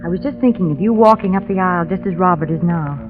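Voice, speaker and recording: A woman's voice: lowish voice, quick pace, over music, close to an everyday voice. An actress playing a poised, witty lady companion to a sleuth, arch and genteel, in 1930s radio drama. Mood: teasing, wry. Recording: muffled, band-limited old radio recording.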